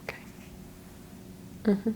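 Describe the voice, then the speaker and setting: Very slow, soft-spoken woman, mellow tone. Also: in a whisper, rough recording. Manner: quiet, terse one-word answers. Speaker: patient in ASMR exam roleplay. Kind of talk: soft-spoken ASMR roleplay responses.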